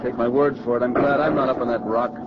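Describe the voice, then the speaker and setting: A man's voice: medium pitch, fairly even intonation, fast talker, natural voice. An actor playing a sardonic war-veteran serviceman in a 1940s radio drama, talking colloquially on a dull, very noisy recording. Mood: wry.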